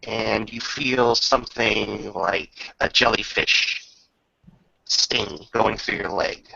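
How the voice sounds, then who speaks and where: A man acting as game master, improvising descriptions and rulings in casual, halting talk with a creaky voice. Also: fairly low pitch, sharp tone, dull audio. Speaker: game master running a tabletop roleplaying game.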